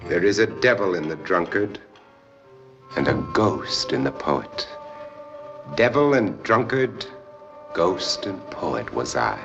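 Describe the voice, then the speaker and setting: An actor playing a wry, world-weary adventurer for hire who drinks hard, speaking in a theatrical, sardonic and lyrical way in an old film. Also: male voice, low-pitched, sing-song, slow pace, creaky, long pauses, full character voice. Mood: brooding, feverish. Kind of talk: old film acting with poetic first-person narration. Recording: muffled old film soundtrack, faint music.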